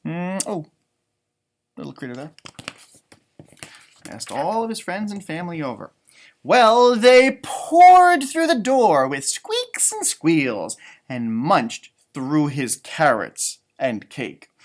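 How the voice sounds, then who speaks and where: A man reading a picture book aloud to young children, expressive, with chatty asides where he wonders aloud. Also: on the high side, takes it slowly. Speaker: elementary music teacher reading a storybook aloud.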